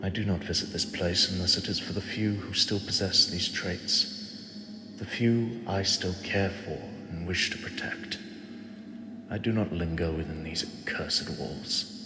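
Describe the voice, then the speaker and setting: A man voicing an ancient male god who speaks softly and slowly, fond and gently teasing, as if to a mortal he protects. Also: deep voice, steady tone. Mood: stern. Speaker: voice actor. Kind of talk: audio drama monologue. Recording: echoey with long reverb and clear ambience.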